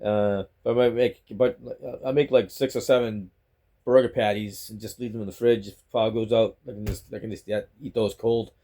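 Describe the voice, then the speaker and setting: A man's voice: lowish voice, fairly even intonation, brisk, dark tone. Rambling middle-aged man with a Boston accent. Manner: chatty, rambling, repeats himself. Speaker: vlogger. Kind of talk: rambling casual talk to camera. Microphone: selfie stick phone.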